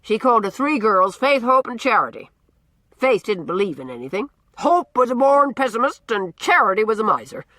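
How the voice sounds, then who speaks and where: A woman voicing a blustering, gruff old man who booms out orders and repeats himself as he teases. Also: big pitch swings, normal speed, a bit bright, no echo. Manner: booming, repeats himself, teasing.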